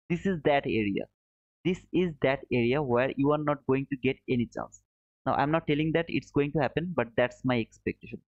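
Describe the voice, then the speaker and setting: Man, chatty livestream host. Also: no room sound. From Bangladesh. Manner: friendly and chatty, calls viewers brother, says boom. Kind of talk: casual live-stream commentary, reading viewer chat. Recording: dull, slightly muffled, dry.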